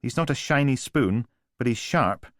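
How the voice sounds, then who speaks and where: A man's voice: mid-range voice, lively intonation, moderate pace, no echo, clean studio sound, voice pushed up, close to an everyday voice. A man voicing a blunt, practical female police officer.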